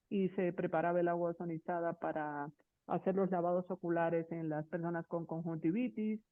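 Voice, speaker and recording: A woman's voice: lowish voice, no echo. Woman doctor, explanatory, heard over a thin, dry phone line. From Cuba. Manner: explanatory, checks in with "¿sí?". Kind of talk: explaining on a call-in show.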